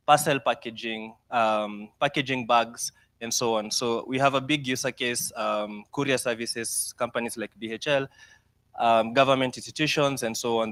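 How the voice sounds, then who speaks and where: A young Kenyan man, a startup founder, giving a lively, self-deprecating mentorship talk to students with slides. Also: mid-range voice, no echo. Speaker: startup founder guest speaker.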